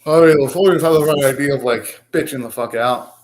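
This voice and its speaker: A man's voice: medium pitch, brisk. A young man hosting a live anime reaction, talking casually, ranting and swearing now and then.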